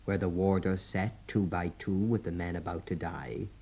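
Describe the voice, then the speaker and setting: An actor playing the grave narrator of a prison radio drama, reading in a measured way. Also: male voice, low-pitched, little pitch movement, warm tone, husky. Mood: somber.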